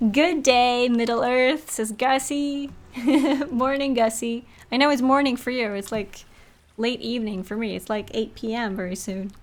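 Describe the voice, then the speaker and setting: A woman hosting a live game stream, talking casually and expressively and reading bits aloud in a chatty, giggly way. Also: on the high side.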